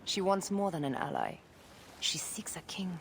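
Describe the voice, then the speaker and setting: An actress playing a resolute, formal royal envoy in a video game, firm and persuasive. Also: female voice, on the low side, huge pitch swings, normal speed, gravelly, a little hiss or hum, heavily acted. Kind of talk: video game cutscene acting.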